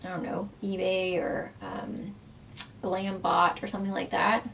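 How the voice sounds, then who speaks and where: A woman's voice: mid-range voice, level tone, a little slow, gravelly, a bit bright, distant mic, a little hiss or hum. A woman chatting through a makeup tutorial as a former makeup artist, talking in a casual way full of fillers, losing her voice so that it often cracks.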